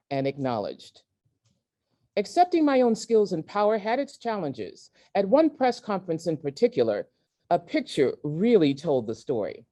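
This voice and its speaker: A woman reading memoir excerpts aloud in a measured, emphatic way, with deliberate pauses. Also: fairly low pitch, mellow. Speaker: guest reader at a virtual event. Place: indoors at a community center, on an online event.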